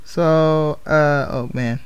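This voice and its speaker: A man's voice: fairly high pitch, steady tone, very slow, clean tone, dull audio. A man chatting casually as he livestreams a game, rambling and often repeating his phrases. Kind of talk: casual gaming stream chat.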